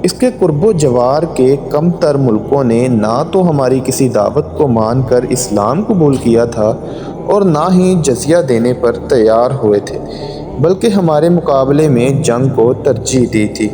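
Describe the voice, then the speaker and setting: A man's voice: mid-range voice, expressive, crowd noise, close to an everyday voice. A man voicing a conquering governor in a moral story, formally defending himself before a court. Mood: defensive. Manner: formal, self-justifying. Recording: long hall reverb, music underneath.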